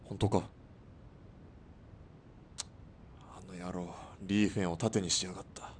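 Radio drama comrade, male actor: deep, grave, urgent marksman looking after his partner, slight rumble. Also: fairly even intonation, fairly quick, darkish tone, lightly acted. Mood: grim. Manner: low, serious, urgent. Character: steady, concerned male sniper partner. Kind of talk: Japanese radio drama acting.

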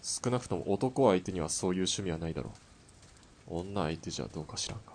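Calm hitman, deep voice, dry and laconic, radio drama, faint noise behind. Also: fast talker. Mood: teasing. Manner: laconic, dry, matter-of-fact threats. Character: calm, dry-witted killer, teasing partner. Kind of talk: audio drama acting.

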